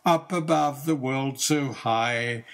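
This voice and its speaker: An older man, a grandfather, reciting nursery rhymes expressively in a rhythmic sing-song with drawn-out line endings. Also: medium pitch.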